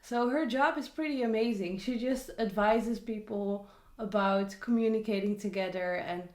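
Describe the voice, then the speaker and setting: A woman's voice: mid-range voice, normal speed, a little mellow. Chatty woman in an echoey room. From Netherlands. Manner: chatty, with many asides and disclaimers. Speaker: vlogger. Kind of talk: casual book review to camera.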